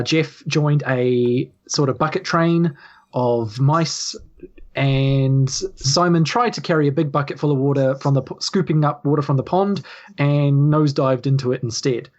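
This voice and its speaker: A man, casually hosting and recapping as game master, with halting, repeating phrasing. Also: mid-range voice, a little mellow, muffled recording. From New Zealand. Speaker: podcast host and game master.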